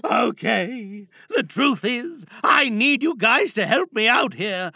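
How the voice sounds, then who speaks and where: A man's voice: very high voice, animated, slow pace, gravelly, pushed and bright, dry recording, clean studio sound, pitched above the performer's own voice, full character voice. A man voicing a grandiose, self-important fake online oracle in a pompous, melodramatic livestream monologue. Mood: tearful pleading.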